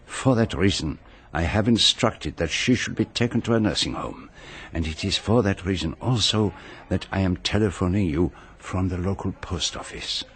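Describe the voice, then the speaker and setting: An actor playing a vain, precise celebrated detective in a radio drama, with a pompous, formal manner. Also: male voice, low voice, moderate pace, fairly bright tone, a little hiss or hum. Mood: urgent, instructing.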